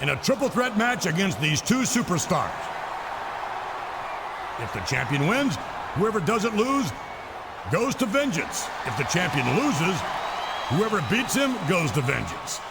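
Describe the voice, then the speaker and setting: Brash wrestling game boss guy, emphatic, gravelly edge, really noisy audio. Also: high-pitched, big pitch swings, measured pace, pauses often, full character voice. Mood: commanding. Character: brash authority figure running a wrestling show. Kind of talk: scripted wrestling game cutscene acting.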